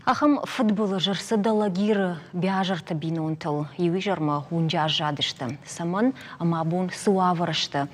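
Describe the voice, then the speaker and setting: Lower-pitched woman hosting a talk show. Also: moderate pace, a little hiss or hum. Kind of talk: studio talk show conversation.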